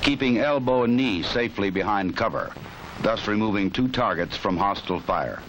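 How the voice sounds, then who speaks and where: A man with an American accent giving authoritative, measured scripted narration for a 1960s instructional film. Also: fairly low pitch, lively intonation. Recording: noisy 1960s film soundtrack.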